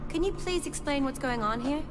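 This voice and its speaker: A woman's voice: high-pitched, animated, brisk, noisy background. An actress playing a concerned, exasperated, spirited young woman in a video game, earnest and questioning.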